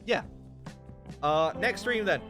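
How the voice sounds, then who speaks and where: A man's voice: high voice, lively intonation, slow. Rambling young man, game music behind. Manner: rambling, loose, with many fillers and restarts. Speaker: streamer. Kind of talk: casual livestream chat while gaming.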